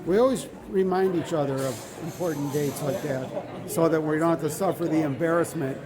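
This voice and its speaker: A man hosting a live-streamed show, a little hoarse, chatting casually in a rambling, joking way with halting restarts. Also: on the high side, crowd noise. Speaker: show host. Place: large indoor hall with a crowd.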